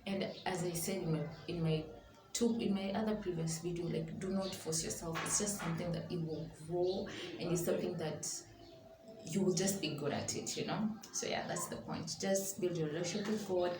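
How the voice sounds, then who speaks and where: Woman, early twenties, casual vlog chat, slightly low pitch, noisy background. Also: moderate pace. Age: early 20s, twenty-one. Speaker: YouTube vlogger. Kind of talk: casual sit-down chat to camera. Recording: echoey room with clear background noise.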